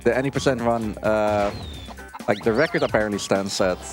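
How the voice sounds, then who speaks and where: A man giving casual, rambling live commentary as a speedrunner, halting at times while he plays. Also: lowish voice, music in the background. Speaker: speedrunner commentating own run.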